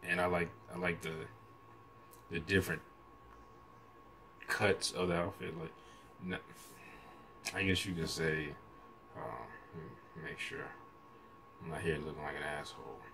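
A man's voice: a bass voice, steady tone, unhurried, creaky, pauses often, pushed and bright, a little hiss or hum, poor audio. An American man in his thirties giving casual, slangy reaction commentary in a rambling, hesitant way, recorded in a room at home. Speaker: reaction video host. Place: small room at home, indoors.

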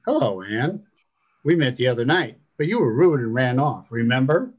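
A man's voice: medium pitch, normal speed, a little mellow, natural voice. Story kidnapper, played by a man reading aloud: smug thug, coolly threatening, slightly muffled sound. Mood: smug menace.

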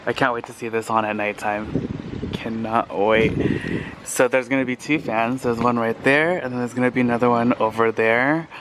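A man in his thirties vlogging casually into a handheld camera, chatty and excitable, talking straight to his viewers. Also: mid-range voice, moderate pace, very noisy. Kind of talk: casual vlog narration. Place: car, outdoor yard and thrift store.

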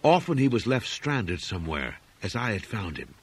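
A man's voice: on the low side, very animated, normal speed, slightly rough. A man reading a horror story as an audiobook narrator, in a dramatic, scripted literary style.

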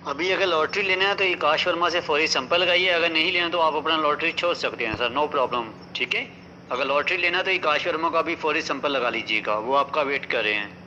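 Sharp-voiced man on muffled voice message. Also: on the high side, brisk. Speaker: caller leaving a voice message. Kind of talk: informal sales-like instruction. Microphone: phone. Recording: dull, noisy phone voice message.

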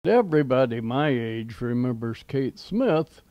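Slow-talking elderly American radio host. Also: male voice, mid-range voice, lively intonation, no echo, lo-fi sound. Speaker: amateur old-time radio host. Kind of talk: nostalgic scripted radio hosting. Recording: home cassette tape with music.

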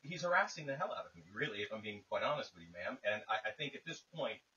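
A man's voice: on the low side, expressive, fast talker, pushed and bright. A man calling someone on the phone, arguing his case insistently in wordy, formal phrasing. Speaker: caller. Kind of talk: tense, argumentative phone conversation. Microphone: phone call. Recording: lo-fi hissy phone call recording, distant.

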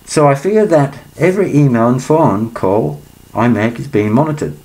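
A man's voice: medium pitch, expressive, normal speed, creaky, a little mellow, rough recording. A man reads a letter aloud in a small room at home, breaking off often into rambling asides. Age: 60s. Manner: rambling, breaks off his reading to comment. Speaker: vlogger.

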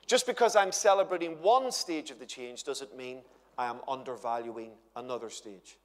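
A man's voice: medium pitch, normal speed. A man, preaching at a church conference with a chatty, humorous storytelling manner. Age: 50s. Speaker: guest conference speaker. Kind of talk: conference sermon to a live audience. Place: large church hall with stage and audience. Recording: long hall reverb.

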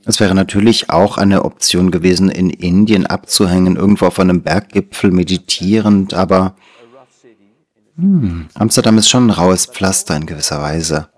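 A Dutch man in his sixties with a very low, deep voice talks as an interview guest in a small studio. He is speaking German, which is not his first language, so his Dutch accent comes through. He tells his story thoughtfully and without hurry, close to a lapel mic. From Netherlands. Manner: thoughtful, unhurried, gestures while talking. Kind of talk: reflective conversational storytelling.

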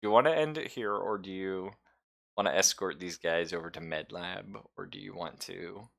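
A man's voice: low-pitched, normal speed, gravelly, fairly bright tone. A man casually narrating an online tabletop game as game master, in a joking, loose way.

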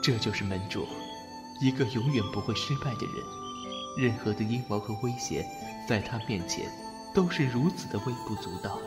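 Casting: male actor as melancholy, loyal general, earnest, mid-pitched, period audio drama, reverberant with prominent music. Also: lively intonation, normal speed, lightly acted. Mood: admiring, solemn. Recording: echoey long reverb under music.

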